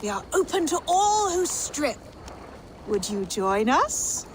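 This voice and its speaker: High, slow, blissful cult reveller. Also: female voice, very animated, slight background noise, exaggerated voice, low-quality recording. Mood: welcoming. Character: serene, welcoming, blissful female nudist cult reveller. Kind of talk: theatrical video game character acting.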